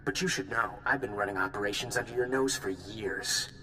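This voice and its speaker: A man's voice: a little fast, sharp tone. An actor playing a defiant, contemptuous male gangster in a video game, with a sneering, boastful manner. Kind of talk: video game character dialogue. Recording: reverberant hall with rumbling noise.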